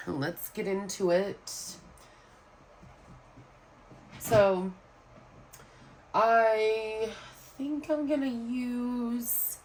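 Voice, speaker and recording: A woman's voice: a little hiss or hum, rough recording. A young adult woman with a Southern US accent, sick and nasal, chatting in a subdued, rambling way about her planner. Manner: subdued, low-energy, rambling. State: sick with a stuffy nose, sniffling. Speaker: planner vlogger. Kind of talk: casual chat.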